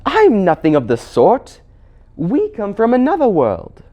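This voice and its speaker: Man putting on a high, defiant young girl. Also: wildly swooping pitch, a little slow, warm tone, highs cut off, exaggerated voice.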